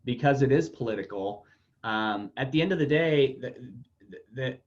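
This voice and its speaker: A man's voice: medium pitch, expressive, moderate pace, a little mellow. A man hosting a casual podcast interview, rambling with many fillers and repeated words. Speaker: podcast host. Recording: dull lo-fi audio.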